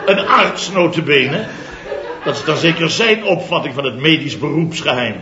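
An actor playing a boastful middle-aged contractor, telling a comic story in a theatrical, chatty way for a 1970s radio comedy serial. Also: male voice, fairly high pitch, expressive, moderate pace, reverberant, highs cut off, crowd noise, natural voice. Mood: mocking.

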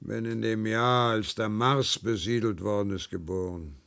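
An actor playing a dutiful, stubborn 95-year-old worker in a radio play, husky and gravelly, prone to stammering. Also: male voice, fairly low pitch, fairly even intonation, unhurried, a bit bright. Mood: calm, proud. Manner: stammers and repeats words when agitated.

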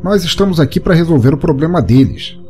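Man voicing a cocky young hunter, pitched up. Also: expressive, quick pace, mellow, faint music behind, natural voice. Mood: impatient, scornful. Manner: dismissive, impatient. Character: cocky, impatient young male monster hunter. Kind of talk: audio short story dialogue.

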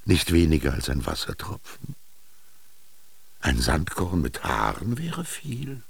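Brooding, commanding master for radio drama: very low, slow, husky, declamatory. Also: male voice, wildly swooping pitch, heavily acted. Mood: brooding, intense. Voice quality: gravelly, husky. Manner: declamatory, halting, with whispered emphasis. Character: commanding, brooding male master dictating his bitter text to a scribe. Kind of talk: German literary radio drama monologue.

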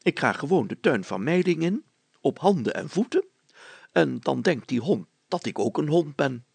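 A man's voice: on the high side, very animated, moderate pace, gravelly, darkish tone, breathy edge, no room sound, pitched above the performer's own voice, heavily acted. A man voicing a pompous, gullible, greedy older village mayor in a storybook reading, chatty and full of himself. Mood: self-satisfied.

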